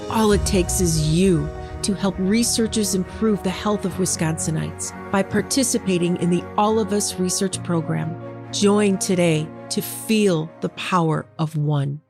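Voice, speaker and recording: Woman, lower voice, scripted radio public service spot, announcer read, clear music bed behind. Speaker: advertisement announcer.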